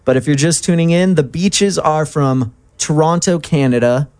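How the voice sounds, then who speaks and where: A man's voice: on the high side, normal speed, a little mellow, clear, resonant tone. A man hosting a casual radio interview in a studio, friendly and chatty with fillers. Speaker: radio host.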